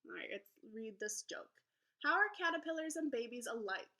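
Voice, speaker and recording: A woman teacher reading aloud to young children in an encouraging, expressive storybook manner. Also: fairly high pitch, moderate pace. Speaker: elementary school teacher. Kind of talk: children's read-aloud lesson.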